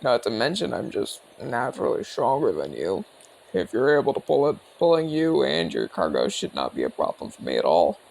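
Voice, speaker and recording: A man performs a friendly old-soldier orc, a former general with a creaky, gravelly edge. His pitch roams widely as he chats in a measured, courteous, inquisitive way. It is intimate ASMR roleplay, addressed straight to the listener. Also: very animated, darkish tone. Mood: friendly, curious. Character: genial retired orc general, male border commander, calm, inquisitive.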